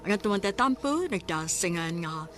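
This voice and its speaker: A woman's voice: fairly low pitch, expressive, moderate pace, very noisy. A woman with a Cebuano Filipino accent giving a scripted radio program introduction as narrator. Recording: voice under loud music.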